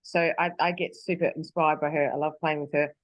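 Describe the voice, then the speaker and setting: A woman's voice: sits low, little pitch movement, a little fast, no room sound, lo-fi sound. An Australian woman singer chatting in an interview, rambling with lots of restarts and fillers. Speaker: singer guest. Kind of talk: casual interview chat. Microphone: video call.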